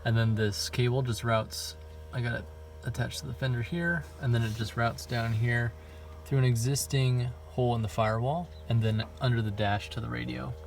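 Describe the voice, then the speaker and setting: A man giving a casual, low-key hands-on tutorial in a plain explaining way, on a noisy amateur recording. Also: steady tone, normal speed, gravelly. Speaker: DIY car video host.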